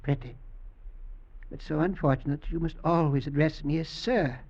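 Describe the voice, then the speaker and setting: Sly, menacing old executioner, vintage radio. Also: male voice, a little high, moderate pace, a little mellow. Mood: sly false sweetness. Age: older. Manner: pointed, insinuating, mock-affectionate questioning. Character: menacing old executioner, possessive, slyly mocking husband. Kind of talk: 1940s-50s American radio drama acting. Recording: dull vintage radio recording.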